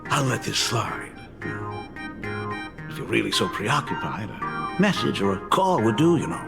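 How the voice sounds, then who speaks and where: A man voicing a doting, fretful middle-aged father and academy dean in a video game, with expressive, theatrical delivery. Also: fairly low pitch, a little slow, gravelly, big-room sound, loud music behind the voice, exaggerated voice. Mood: relenting.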